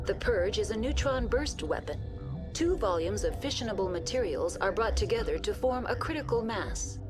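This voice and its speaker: Lady playing female ai facility assistant, literal and emotionless, very precise, medium pitch, game music clearly behind. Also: close to an everyday voice. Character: literal-minded, impassive female virtual intelligence facility assistant. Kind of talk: video game dialogue.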